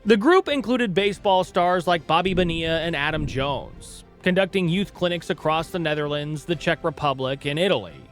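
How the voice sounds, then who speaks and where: A man's voice: a little high, animated, normal speed, few pauses, faint music behind. Man narrating a sports story dramatically. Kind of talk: scripted documentary narration.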